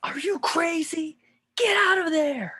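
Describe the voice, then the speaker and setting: A man's voice: extremely high pitch, slow pace, pushed and bright, exaggerated voice. A man voicing a scheming, gloating villain of creature fights, taunting and theatrical, in an amateur table reading. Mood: outraged.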